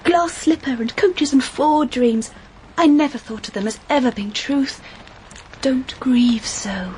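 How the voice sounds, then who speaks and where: An actress playing an earnest, wistful young woman narrating her story in a measured, literary period-drama style. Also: sits high, a little mellow, a little hiss or hum, rough recording. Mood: consoling.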